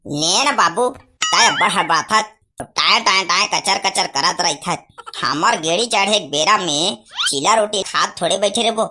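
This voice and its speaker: A cheeky, eager Chhattisgarhi village boy from a cartoon comedy, acted by an adult, argues impatiently at a middling pitch. There is clear noise on the recording. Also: normal speed, sharp tone, lightly acted. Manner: impatient, argumentative.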